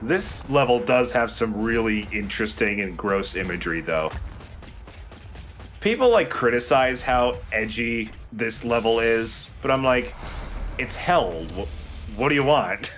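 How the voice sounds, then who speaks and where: A man's voice: mid-range voice, expressive, unhurried, fairly bright tone. A man giving casual, explanatory game commentary as a let's play host. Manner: explanatory, conversational. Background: game music.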